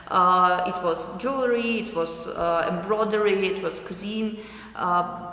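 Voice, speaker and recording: A young adult woman from eastern Ukraine with a Ukrainian accent, answering interview questions thoughtfully with many hesitant pauses. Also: medium pitch, fairly bright tone. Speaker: artist interviewee. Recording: roomy hall with long echo.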